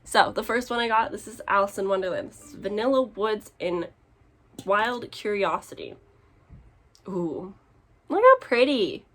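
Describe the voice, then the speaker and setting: A woman chatting casually to her vlog audience in an expressive, giggly, exclaiming way, as a book vlogger showing her purchases. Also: mid-range voice, a little slow, soft background music. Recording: clear background noise.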